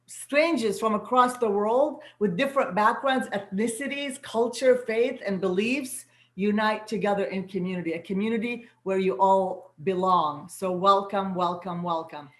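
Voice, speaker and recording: Host: native Arabic-speaking woman, soul guide and coach, gentle and affirming; echoey video-call sound. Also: mid-range voice, normal speed, hardly any pauses. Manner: gentle, affirming, repeats words for emphasis. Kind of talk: inspirational welcome to an online gathering.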